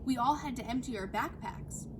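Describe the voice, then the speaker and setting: A woman's voice: fairly high pitch, normal speed, natural voice. A woman voicing an inquisitive, wry boy detective in a storybook, questioning in a matter-of-fact way. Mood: puzzled, reasoning. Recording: clear background noise outdoors.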